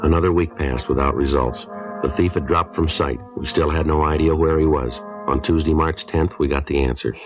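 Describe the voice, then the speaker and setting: An American actor playing a stoic, matter-of-fact police detective in a 1950s radio drama, with terse, deadpan procedural delivery. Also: male voice, a bass voice, normal speed. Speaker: actor narrating and playing the lead. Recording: old radio recording, faint music.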